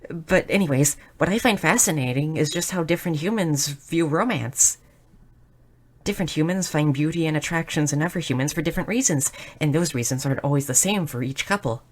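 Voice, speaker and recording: Casting: a woman as a bubbly, bookish goblin girl for audio roleplay, low-pitched, inquisitive, with a slight nervous stammer. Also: brisk. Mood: flustered, earnest. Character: shy, bubbly, bookish young goblin girl, eager and curious. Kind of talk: scripted audio roleplay addressed to the listener.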